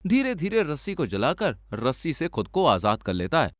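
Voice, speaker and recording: A man's voice: fairly high pitch, huge pitch swings, normal speed, no echo, studio quality. A man narrating a scripted cartoon story as a storyteller.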